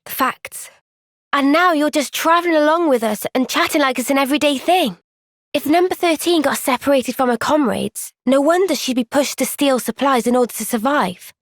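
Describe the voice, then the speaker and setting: An actress playing a young soldier heroine in a video game, earnest, gentle and resolute, speaking with soft, measured sincerity. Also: female voice, high-pitched, no room sound, clean studio sound. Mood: thoughtful, earnest. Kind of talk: video game cutscene voice acting.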